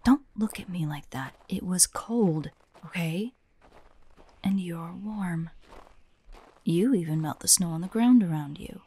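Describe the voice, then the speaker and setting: A woman playing a prickly, guarded young hunter who secretly cares, in a soft, breathy romantic audio roleplay with a defensive, hesitant manner. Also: lowish voice, measured pace, pauses often. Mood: defensive, flustered. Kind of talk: romantic audio roleplay acting, addressed to the listener.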